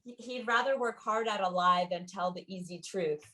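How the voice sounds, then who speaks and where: A woman's voice: medium pitch, moderate pace, bright, forceful tone. A woman co-hosting a podcast, chatting casually about fiction. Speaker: podcast co-host. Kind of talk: casual conversation.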